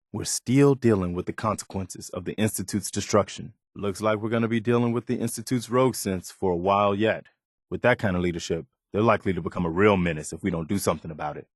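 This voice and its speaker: An actor playing an earnest, loyal and idealistic militia officer in a video game, measured and plain-spoken. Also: male voice, lowish voice, no echo, clean studio sound, lightly acted. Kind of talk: video game character dialogue.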